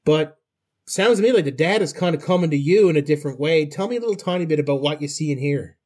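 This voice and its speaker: A man's voice: on the high side, expressive, fast, darkish tone, husky. A man, hosting a podcast interview in a chatty, folksy, joking way. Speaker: podcast host. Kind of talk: casual conversational interview.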